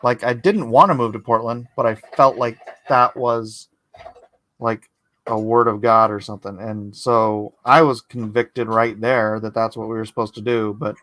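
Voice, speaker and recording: A man from California, raised in San Jose, chatting casually with dry jokes and halting, reflective stories. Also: medium pitch, steady tone, fairly bright tone, no echo. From born in Hawaii, raised in San Jose. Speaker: co-host, friend. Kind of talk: casual chat.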